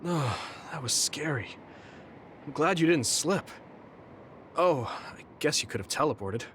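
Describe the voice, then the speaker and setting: A man's voice: a little high, lively intonation, measured pace, a bit bright, slight background noise. An actor playing a kind, easygoing young man in a video game cutscene, sincere, casual and encouraging. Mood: gentle. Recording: music behind the voice.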